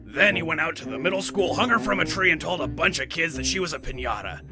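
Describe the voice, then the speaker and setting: A man's voice: on the high side, expressive, fast talker, music in the background. A man playing a boastful, unhinged police chief who rambles through crude stories in a comedic cartoon parody. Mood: gleeful storytelling. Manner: rambling, gleefully crude, blustering. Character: boastful, unhinged old police chief telling lewd tales.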